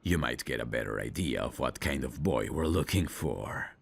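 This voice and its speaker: A man acts out a gruff veteran police detective in an audio drama, with an extremely low voice full of gravel. He drawls slowly and sardonically, a tough, world-weary cynic. The husky delivery still rises and falls expressively. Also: lively intonation, full character voice. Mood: matter-of-fact, disdainful. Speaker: detective mentoring a rookie. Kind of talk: scripted roleplay audio drama.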